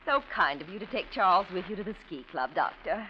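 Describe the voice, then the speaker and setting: An actress playing a polite, fretful dean's wife with a fluttery, hesitant manner in vintage radio drama. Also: female voice, on the low side, sing-song, a little fast. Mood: polite, welcoming. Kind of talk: 1940s radio acting. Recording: muffled old radio recording, faint noise.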